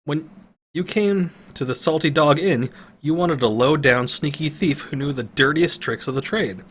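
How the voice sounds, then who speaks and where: A man's voice: on the high side, moderate pace, breathy edge, voice pushed up. A man voicing a brash, greedy young female elf thief in a storybook. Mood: defiant.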